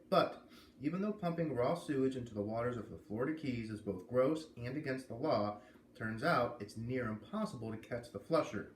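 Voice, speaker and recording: Man, read-aloud narrator, expressive storybook delivery, explanatory asides, echoey room, background noise. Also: medium pitch. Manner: pauses to explain and comment on the story. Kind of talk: expressive storybook reading with commentary.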